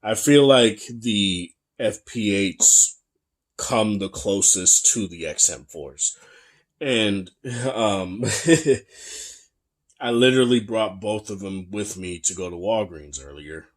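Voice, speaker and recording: A young man with a very low, bassy voice talks through a gadget review in an easygoing way. He is chatty and relaxed, with plenty of fillers and restarts. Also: slow pace. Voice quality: deep, bassy voice. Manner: laid-back, chatty, many fillers and restarts. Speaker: tech reviewer. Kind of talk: casual product review.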